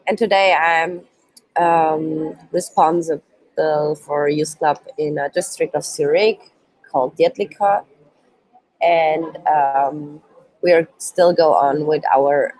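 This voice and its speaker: A woman's voice: sits low, a little mellow, no echo, poor audio. A woman in her twenties who is not a native English speaker gives a live online training presentation in hesitant English full of ums, while she is sick. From Switzerland, Turkish roots. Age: 20s. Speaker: youth work trainer.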